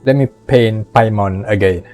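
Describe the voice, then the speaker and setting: Mellow-voiced man doing gameplay commentary. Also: fairly low pitch, moderate pace. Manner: repeats words and phrases. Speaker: game streamer. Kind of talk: casual gameplay commentary, reading prompts aloud. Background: game music.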